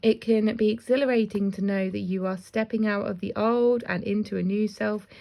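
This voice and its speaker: A woman with a slightly croaky voice gives a casual spiritual card reading, chatty and self-deprecating. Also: medium pitch, steady tone, moderate pace. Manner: chatty, warm asides, often laughs at herself. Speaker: intuitive card reader.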